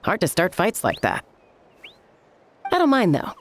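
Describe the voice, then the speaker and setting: Laid-back young female warrior, low voice, lively intonation, nonchalant, confident, video game character. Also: measured pace. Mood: easygoing.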